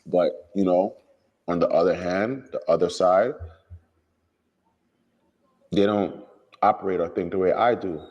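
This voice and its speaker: Man, very low voice, calm and measured, long reverb on the recording. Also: dull audio. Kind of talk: recorded interview, speaking about his family. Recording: clip with long room reverb.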